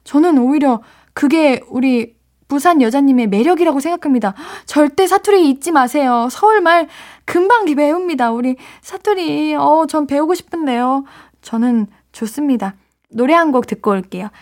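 A woman's voice: high-pitched, expressive, normal speed, darkish tone. A woman hosting a late-night radio show, chatting and reacting to listener letters with frequent gasps and exclamations, speaking into a studio microphone. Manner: bubbly, gasps and exclaims often. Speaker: radio DJ host.

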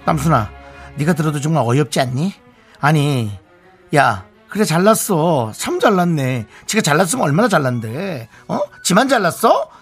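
A man acts out an indignant wife in a comic radio sketch. The voice is high and gravelly, swinging up and down with whiny, theatrical grievance, over faint music. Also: very animated, moderate pace, full character voice. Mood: exasperated venting. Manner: dramatic, whiny, indignant complaining. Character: aggrieved, touchy wife venting about, then defending her husband. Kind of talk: comic radio skit of a listener's story.

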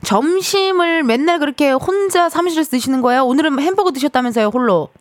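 A woman in her thirties hosting a casual radio show in a studio, chatting with listeners in a playful, teasing, animated manner. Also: high-pitched, quick pace, dry recording. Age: 30s. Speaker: radio host. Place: broadcast studio.

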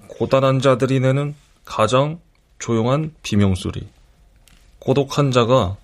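An actor playing a lonely young man who speaks softly and earnestly. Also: mid-range voice.

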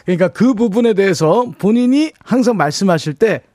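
A man co-hosting a radio show, coaching a caller on pitch and diction with emphatic demonstrations and frequent laughs. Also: expressive, fairly quick, mellow, dry recording, studio quality. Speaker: radio co-host and vocal coach.

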